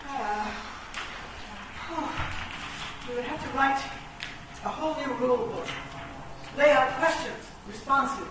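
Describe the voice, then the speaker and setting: An actress playing a commanding, idealistic chess-piece leader, declaiming deliberately in a theatrical radio play. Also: female voice, high voice. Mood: urging. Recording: echoey, distant, noisy stage recording.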